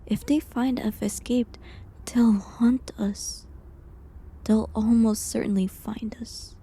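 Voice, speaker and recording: A very breathy, half-whispering condemned woman, played by an actress in a medieval audio drama. She speaks slowly and softly, with a mellow tone and sorrowful resignation. Her wording is slightly formal and old-fashioned, gently pleading as an accused witch. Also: a little high, fairly even intonation, full character voice. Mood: fearful, pleading. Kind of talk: intimate audio roleplay drama in medieval setting.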